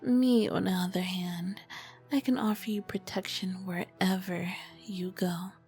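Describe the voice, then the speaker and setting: A woman playing an ancient, charming, flirty vampire queen in a whispered ASMR roleplay, with elegant, formal diction and a soft breathy voice. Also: lowish voice, steady tone, a little slow, faint music behind. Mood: confident, persuasive.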